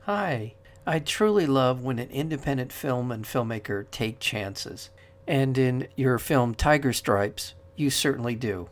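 A man hosting a radio interview show, asking long, earnest questions in a measured way with some restarts. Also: medium pitch, animated.

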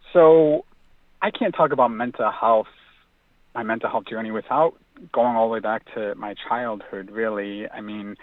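A man's voice: fairly low pitch, dry recording. Man on a tinny phone line. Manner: earnest, reflective, with frequent fillers. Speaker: author and mental health advocate, guest. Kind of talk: phone-in radio interview.

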